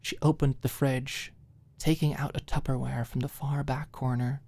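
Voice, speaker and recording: A woman's voice: extremely low pitch, steady tone, normal speed, warm tone. A woman reading scripted story narration for an ASMR-style audiobook, close to the microphone.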